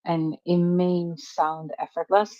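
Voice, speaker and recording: A woman's voice: lowish voice, little pitch movement, slow pace, dark tone, no room sound, muffled recording. A woman from Sweden, a native Swedish speaker, co-hosting a casual chat. She gushes and chats freely, with hesitant fillers. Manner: gushing, chatty, with hesitant fillers. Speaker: co-host.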